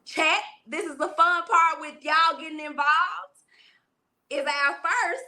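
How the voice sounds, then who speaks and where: A woman's voice: sits high, unhurried, very noisy. A woman hosting a livestream interview, asking questions and talking to the chat in an upbeat way. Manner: upbeat, addresses the chat directly. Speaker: interview show host.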